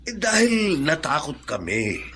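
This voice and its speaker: A loyal, timid Filipino manservant, with a creaky, gravelly voice. He is earnest and deferential. The track carries faint noise. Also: fairly high pitch, big pitch swings, unhurried, a bit bright, heavily acted. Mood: earnest, worried. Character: loyal, timid male household servant. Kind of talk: Filipino radio drama acting.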